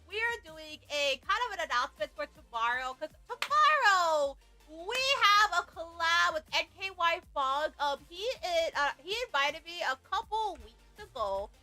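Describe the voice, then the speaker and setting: A woman's voice: very high voice, expressive, normal speed, hardly any pauses, sharp tone. A young woman from Hawaii chatting casually on her livestream, bubbly and rambling with lots of fillers. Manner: bubbly, rambling, many fillers, squealing greetings. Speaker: VTuber streamer. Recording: faint music behind. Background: faint background music.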